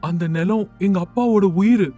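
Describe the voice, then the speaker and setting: A man playing an earnest village tailor in an animated moral story, speaking emphatically. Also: high-pitched, normal speed, lightly acted. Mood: pleading. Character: earnest village tailor, devoted son turned strict miser. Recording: music under the voice.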